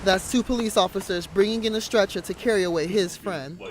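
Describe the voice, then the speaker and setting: A man's voice: sits high, brisk, noisy background. A man reading scripted news narration as a reporter.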